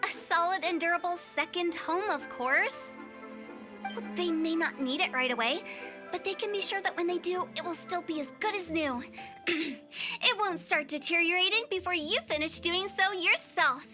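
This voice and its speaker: A woman's voice: extremely high pitch, normal speed. A woman playing a perky, cheeky young funeral parlor director in an anime game, with theatrical sales patter. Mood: cheerful, scheming. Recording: game audio with music behind.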